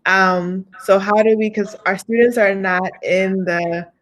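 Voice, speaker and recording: A woman in her thirties hosting an education webinar over a video call, chatty and animated as she reads out audience questions. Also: mid-range voice, measured pace, slight background noise. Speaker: webinar host. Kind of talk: webinar talk.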